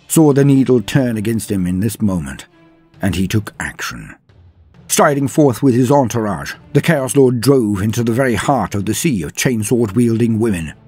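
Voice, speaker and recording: A man narrating a scripted story in a dramatic, grave, measured manner with pauses for effect, his voice husky. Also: fairly low pitch, darkish tone. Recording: music behind the voice. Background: background music.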